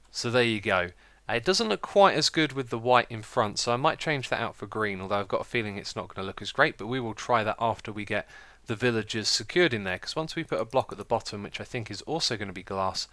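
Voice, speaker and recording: A British man giving casual, chatty gameplay commentary as a let's play host, with a slightly croaky voice and a self-mocking manner. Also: fairly low pitch, bright, forceful tone, no echo. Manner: chatty, self-mocking, laughs at own slips.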